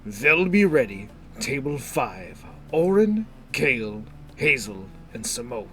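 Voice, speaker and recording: A man puts on a seasoned guild commander from a fantasy tale. He is gruff, stern and firm, with animated pitch and an unhurried delivery. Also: soft background music, heavily acted.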